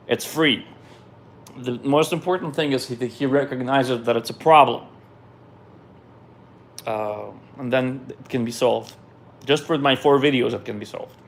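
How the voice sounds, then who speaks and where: Relaxed man answering viewer questions. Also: medium pitch. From Israel. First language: Russian. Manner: relaxed and conversational, trails off and restarts. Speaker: musician hosting a viewer Q&A. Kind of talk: casual live Q&A, reading out questions and answering.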